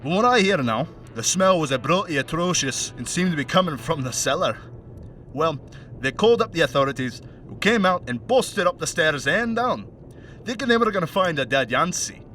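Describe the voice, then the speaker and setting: A man playing a mocking, menacing crime henchman with a put-on Scottish accent in an audio play monologue, chatty and sardonic with threatening pauses. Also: a little high, animated, pushed and bright, recorded from a distance, faint music behind. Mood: sardonic storytelling. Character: menacing, mocking male crime henchman taunting a bound captive.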